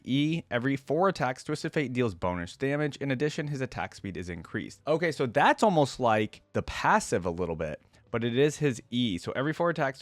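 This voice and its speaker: A man giving casual reaction commentary, curious and conversational, reading aloud and thinking out loud. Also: medium pitch, no echo. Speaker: video creator reacting to game lore.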